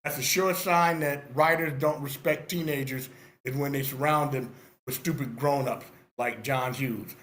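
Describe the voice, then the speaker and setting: A man's voice: mid-range voice, steady tone, moderate pace, a bit bright. A man in his sixties giving casual, opinionated and direct spoken feedback as a script reviewer. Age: 60s.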